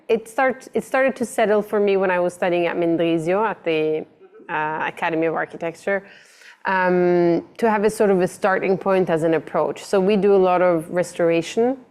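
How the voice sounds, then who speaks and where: A woman's voice: on the low side, creaky. A woman designer who grew up in Italy, answering interview questions thoughtfully, easing in with fillers. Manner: thoughtful, slow to start, many fillers. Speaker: interior designer and architect guest.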